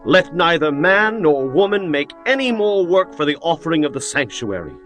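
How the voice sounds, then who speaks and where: Man acting animated herald, higher-pitched. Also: moderate pace, soft background music. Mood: commanding. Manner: proclaiming, formal. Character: male camp herald proclaiming an order to the people.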